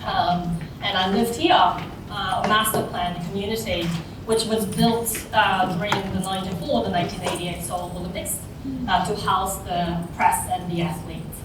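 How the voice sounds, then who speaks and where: A woman from South Korea gives a personal talk at a conference, her delivery informal and slightly halting. Her voice sits at a middle pitch. It is picked up from a distance in a very echoey room, with clear noise behind it. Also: steady tone. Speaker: conference speaker, social entrepreneur. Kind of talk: public talk with personal storytelling.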